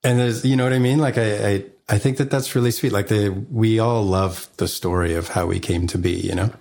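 A man's voice: on the low side, a little fast. A man hosting a podcast, introducing his guest and chatting casually in a long-form interview. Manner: relaxed conversational, with fillers. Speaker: podcast host.

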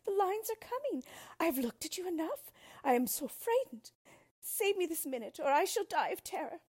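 A woman's voice: sits high, sing-song, moderate pace, mellow, slightly rough, voice pushed up, exaggerated voice. A woman reading a storybook, playing a proud, fearful young princess in a dramatic manner. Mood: terrified, pleading. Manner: pleading, indignant, dramatic.

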